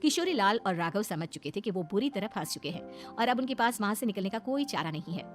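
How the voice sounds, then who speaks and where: A woman's voice: mid-range voice, expressive, a little fast, soft background music. A woman reading scripted narration as a storyteller.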